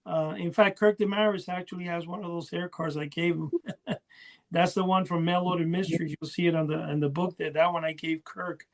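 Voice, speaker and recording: A man with a noticeably high voice talks in a loose, conversational way, like a collector on a podcast. He rambles, doubling back and drifting away from his own point. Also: flat delivery, fairly quick. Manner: rambling, often losing his thread. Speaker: podcast co-host. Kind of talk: casual chat about collecting.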